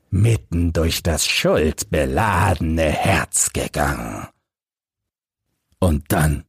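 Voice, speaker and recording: A man voicing an embittered old crippled soldier who tells his tale in a halting, dramatic way for an audiobook. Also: sits low, vocal fry, slightly rough, no echo, studio quality, heavily acted. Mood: grim, bitter. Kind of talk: audiobook character reading.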